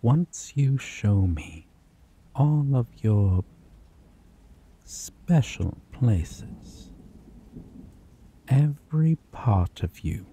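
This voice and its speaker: A man playing a slow, dark-voiced possessive vampire. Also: lowish voice, a little hiss or hum, heavily acted. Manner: slow, soft-spoken, menacing, drifts into whispers. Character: possessive obsessive male vampire, menacing captor. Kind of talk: ASMR roleplay.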